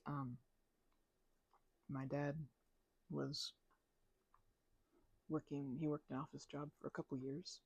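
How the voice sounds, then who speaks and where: A young woman with a low, creaky voice rambles casually in ASMR style. She mumbles and hesitates her way along in a relaxed, unhurried tone, close to the mic. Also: dry recording, lo-fi sound. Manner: mumbling, hesitant, drifting storyteller. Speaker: ASMR channel creator.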